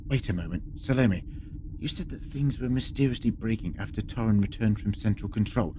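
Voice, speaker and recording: An actor playing a sardonic, lecturing time-travelling adventurer in a sci-fi audio drama. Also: male voice, fairly low pitch, fairly quick, background noise, natural voice. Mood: scornful. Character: sardonic, quick-witted male time-travelling alien adventurer.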